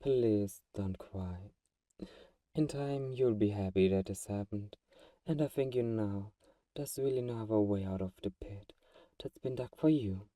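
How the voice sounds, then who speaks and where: A man playing a possessive vampire who is gentle, coaxing and condescendingly protective, in a soft-spoken, intimate ASMR roleplay. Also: on the low side, a little slow, dry recording, clean studio sound, far from the mic, natural voice. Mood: calm, controlling.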